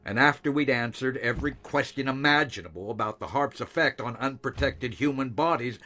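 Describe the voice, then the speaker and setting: An actor playing a dry, exasperated senior male boss in an audio drama, speaking formally and with measured delivery. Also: medium pitch, sharp tone, faint music behind, lightly acted.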